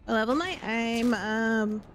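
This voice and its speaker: A woman's voice: a little high, a little slow, a little hiss or hum. A woman chatting casually while livestreaming a game, talking off the cuff to viewers. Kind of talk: casual chat while gaming. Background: game music.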